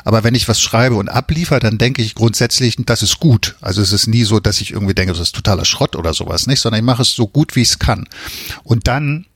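A man speaks in a low register on a dry, close recording. He is an author answering interview questions in a conversational, explanatory way, often tagging his sentences with a short question. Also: quick pace, a bit bright, slightly rough, dry recording.

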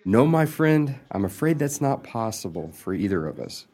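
A man's voice: on the low side, sing-song, normal speed, darkish tone. A man playing a jealous, lovesick suitor in an amateur radio play, earnest and exasperated, with a hesitant stammer. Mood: guarded, sly.

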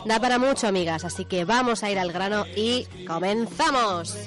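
A woman's voice: mid-range voice, normal speed, a bit bright, soft background music. A woman with a Spain accent co-hosting a radio show, moving between read script and casual talk. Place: radio studio.

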